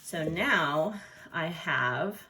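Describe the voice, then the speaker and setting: Lower-voiced woman giving craft instructions. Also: expressive, extremely slow, sharp tone, rough recording. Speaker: art teacher. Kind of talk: step-by-step craft instruction for children. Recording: noticeable background noise.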